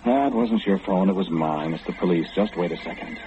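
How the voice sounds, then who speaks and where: An actor as a deep-voiced, ominous all-knowing man. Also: brisk, close to an everyday voice. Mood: ominous, confiding. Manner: insistent, confiding, rhetorical questioning. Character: omniscient, ominous, frightened man warning the listener. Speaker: radio play lead actor. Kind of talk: old-time radio horror monologue, intimate address to the listener. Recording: muffled, hissy old radio recording.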